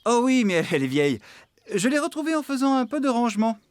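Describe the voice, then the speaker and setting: A man's voice: extremely high pitch, very animated, quick pace, noisy background. A man voicing a friendly, enthusiastic farmhand in a children's cartoon, exclaiming expressively. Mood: cheerful, bashful. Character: friendly, enthusiastic male farmhand who plays guitar. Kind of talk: animated children's cartoon dub.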